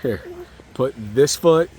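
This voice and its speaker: A man's voice: medium pitch, lively intonation, slow pace, darkish tone, breathy edge. A man talking casually and encouragingly as a father to a young child, outdoors at a park. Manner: encouraging, informal, addresses child as man and bud. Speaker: father and vlogger.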